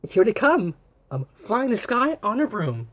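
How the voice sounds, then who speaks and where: A woman's voice: medium pitch, big pitch swings, a little slow. A woman reading a picture book aloud to children in a dramatic, exclamatory way. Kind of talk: expressive children's read-aloud.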